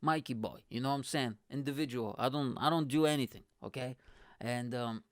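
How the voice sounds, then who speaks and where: A man's voice: medium pitch, brisk, dry recording, clean studio sound. A man in his thirties with a Bulgarian accent, hosting his own podcast in a rambling, joking monologue from a small home room into a desk mic. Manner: animated, gesturing a lot, joking and rambling. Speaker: podcast host. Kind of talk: casual comedic monologue. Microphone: studio mic on desk in front.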